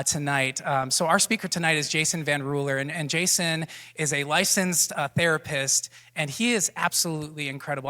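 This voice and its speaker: A man in his thirties welcomes everyone from the stage as the church's pastor, bubbling over with excitement and tossing in quick jokes. His voice sits a little high and rings bright and forceful. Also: big-room sound. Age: 30s. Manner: energetic, jokey, gushing with excitement.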